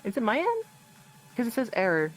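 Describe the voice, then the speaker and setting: A woman's voice: fairly high pitch, huge pitch swings, a little fast, faint music behind, rough recording. A woman chatting casually during an improvised online role-play game, hesitant, giggly and apologetic in manner.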